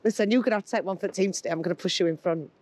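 A woman's voice: medium pitch, racing, a little mellow, no echo. A young woman bantering cheekily with her co-host in a car. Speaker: prank show co-host. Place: inside a car.

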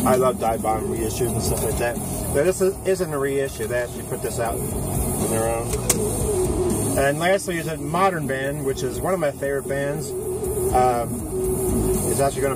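A man's voice: medium pitch, distant mic. A middle-aged man chatting casually about his music in a parked car, rambling with lots of fillers and restarts. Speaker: music collector vlogger. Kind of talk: casual chat. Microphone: phone camera on the dashboard. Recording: car interior with loud outside noise, off-mic. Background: loud ambient noise from outside the car.

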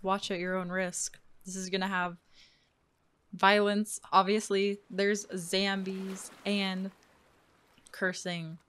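Girl doing casual lets play commentary, slow pace, medium pitch, close mic. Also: flat delivery, fairly bright tone, clear, resonant tone. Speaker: gaming video host. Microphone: close dynamic microphone.

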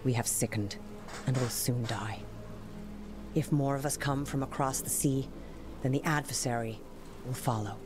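A woman playing a solemn, guarded female scholar with horns in a video game, speaking in a formal, measured way. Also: very deep voice, over music. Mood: solemn, ominous. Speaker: linguist.

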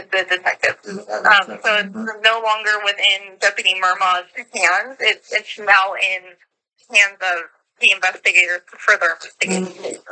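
A woman's voice: mid-range voice, bright, forceful tone. An American woman handling an official phone call, explaining procedure politely as a sheriff's office call taker.

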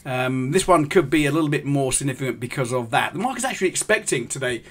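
A middle-aged man gives live commentary on currency markets to his stream viewers. He explains things step by step, pausing often on ums and starting sentences over. He sounds like he is in a small indoor room, speaking into a desk microphone. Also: medium pitch, lively intonation, fairly bright tone, slightly rough. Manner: explanatory, halting with many ums and restarts. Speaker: forex trading host.

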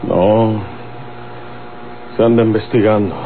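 An actor playing a grave, measured bandit leader with a Mexican accent in an old radio drama. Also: male voice, on the low side, steady tone, narrow, tinny audio. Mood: grave, restrained. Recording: thin old radio recording with mains hum.

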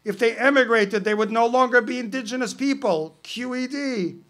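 A man's voice: extremely high pitch, normal speed, fairly bright tone, pitched above the performer's own voice. A man reading a poem aloud, voicing a fussy, anxious male parrot in prim, indignant tones. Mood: smug logic. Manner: worried, indignant, prim speech. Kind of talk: poetry reading, acted dialogue.

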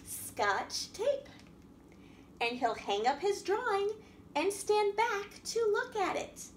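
A woman with a very high, swooping voice reads a picture book to young children. She takes her time, in the sing-song manner of a library storytime host. The room around her is echoey. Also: sing-song, slow. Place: indoor room at a wooden table by a window. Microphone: camera across the table. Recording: echoey room with background noise.

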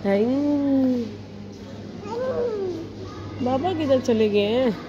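A woman's voice: high-pitched, drawn-out words, muffled recording. A woman filming a family shopping trip in a big indoor hypermarket on a handheld phone, calling after and coaxing small children. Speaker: vlogger and mother. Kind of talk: casual family vlog chatter. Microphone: handheld phone camera. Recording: loud store noise behind.

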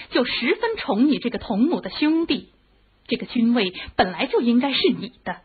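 An actress playing a bold, scheming palace consort in a radio drama, scornful, goading and impatient. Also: female voice, a little high, husky. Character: bold, scheming palace consort plotting revolt against the king. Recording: muffled, tinny phone-like radio drama recording.

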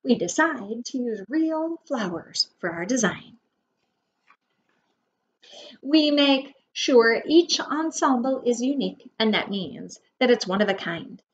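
Female preschool teacher, high and dramatic picture-book delivery, explains words simply; slightly noisy home webcam recording. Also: expressive, takes it slowly. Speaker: preschool teacher reading aloud. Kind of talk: expressive picture-book read-aloud for young children. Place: indoor room at home. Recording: dull webcam audio with faint noise.

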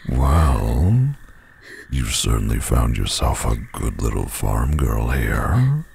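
An actor playing a menacing, sadistic male werewolf pack leader in an audio roleplay, with a breathy growl and a taunting, mock-polite manner. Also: huge pitch swings, slow, a little mellow, slight background noise, heavily acted. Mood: gloating cruelty. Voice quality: very deep gravelly growl, often breathy. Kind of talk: dramatic audio roleplay acting.

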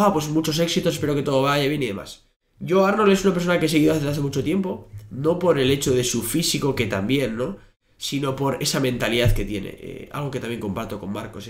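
A young man, a native Spanish speaker, talking as a podcast guest across a desk, telling stories with many fillers and restarts. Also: mid-range voice. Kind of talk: casual interview talk. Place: small office studio. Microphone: desk microphone. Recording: echoey room.

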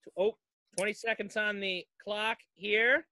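A man hosts a podcast in a casual, playful way. His voice is high and very bright, with a dry, close sound from a home setup. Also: fairly even intonation, unhurried, dry recording. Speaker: podcast host. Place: home basement.

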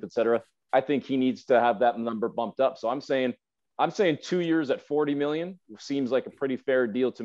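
A man's voice: mid-range voice, a little mellow, dry recording. A man, debating sports emphatically as a guest, repeating phrases, over dull video-call audio. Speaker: radio play-by-play announcer, podcast guest. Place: room at home on video call.

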